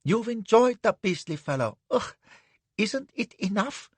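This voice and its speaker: A man voicing a frantic, squealing man, a eunuch at a palace, high-pitched and near tears. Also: sing-song, measured pace, husky, no room sound, exaggerated voice. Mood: frantic pleading.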